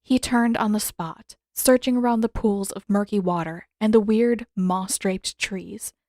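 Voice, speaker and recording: A woman's voice: medium pitch, steady tone, measured pace, a little mellow, no echo. Woman narrating a story, dry recording. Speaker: narrator, fan fiction author.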